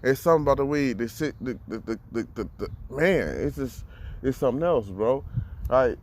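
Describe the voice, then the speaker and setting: A man's voice: mid-range voice, expressive, moderate pace, a little mellow, slight background noise. A man in his thirties talking bluntly and profanely in a casual monologue, often repeating words as he gathers his thoughts. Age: 30s. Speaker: vlogger.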